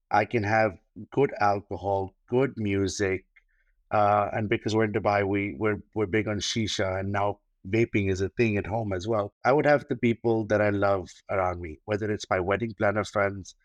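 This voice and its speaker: A man's voice: lowish voice, fairly even intonation, moderate pace, no echo, lo-fi sound. A man, a luxury wedding planner, answering interview questions in a thoughtful way, with fillers and restarts. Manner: thoughtful, with many fillers and repeated starts. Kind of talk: podcast interview.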